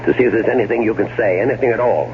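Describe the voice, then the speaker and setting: Radio drama: male actor as bitter, scheming American man, fairly low, theatrical, on a noisy old broadcast. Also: rapid-fire, phone-quality sound, lightly acted. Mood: bitter sarcasm. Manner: theatrical, sarcastic, stammers when cornered. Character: scheming, irritable man, bitterly sarcastic older-brother type.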